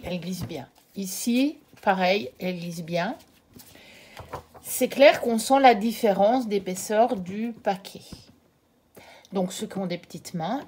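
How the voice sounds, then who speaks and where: A woman hosting her own channel, comparing two products in a casual, hesitant way with frequent filler pauses. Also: mid-range voice, darkish tone, slight background noise. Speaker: channel host reviewing tarot decks.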